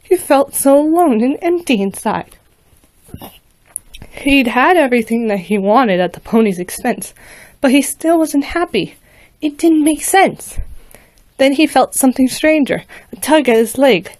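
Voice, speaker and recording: A woman's voice: high-pitched, animated, unhurried, long pauses, a little mellow, no room sound. A woman reading a rhyming fan story aloud at home, now and then stumbling and restarting words. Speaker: fanfiction reader.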